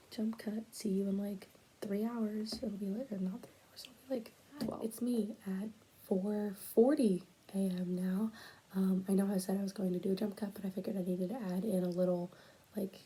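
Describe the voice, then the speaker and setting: A young woman presenting a school project in a casual, halting way, stuttering and pausing often and dropping to a whisper at times, recorded on a tablet at home late at night. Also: mid-range voice, mellow, breathy edge, poor audio. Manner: stuttering, halting, with many pauses and fillers. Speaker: student presenting a class project.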